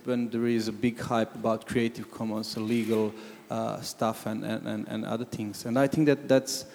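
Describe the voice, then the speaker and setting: A man from Croatia, commenting from the audience at a panel discussion, halting with many restarts. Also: on the low side, little pitch movement, vocal fry, darkish tone, slight background noise. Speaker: audience member. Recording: long hall reverb.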